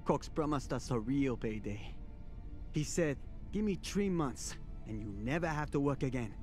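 A man's voice: medium pitch, lively intonation, moderate pace, a little mellow, soft background music, natural voice. An actor playing a whiny, put-upon junior spaceship crewman in a video game, with a pleading, complaining manner. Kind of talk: video game cutscene acting.

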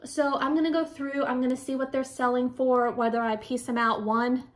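Higher-pitched chatty woman, slightly breathless. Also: flat delivery, normal speed. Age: 40s. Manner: rambling, self-correcting, addresses viewers directly. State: a little out of breath. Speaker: reseller showing flea market finds. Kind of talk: casual chatty haul vlog. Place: furnished room at home, indoors.

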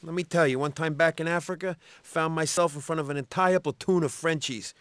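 A man's voice: a little high, steady tone, brisk, fairly bright tone, clear, resonant tone, no room sound, natural voice. A man voicing a boastful soldier spinning tall tales. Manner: boastful, swaggering. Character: boastful male American soldier telling war stories. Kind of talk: game banter.

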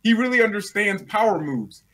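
A man's voice: high-pitched, sing-song, moderate pace, a bit bright. A young man in his twenties, a guest rival fan, bantering playfully and teasing on a video call from a small room at home. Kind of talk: casual banter.

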